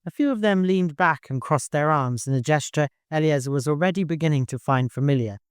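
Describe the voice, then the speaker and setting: A man's voice: on the high side, a little fast, clean tone, no echo, clean studio sound. A man reading scripted literary narration as an audiobook narrator.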